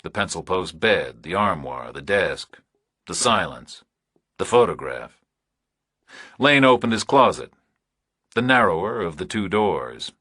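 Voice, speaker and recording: A man reading scripted thriller narration as an audiobook narrator, in measured, terse phrases. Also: low voice, big pitch swings, sharp tone, husky, no room sound, studio quality.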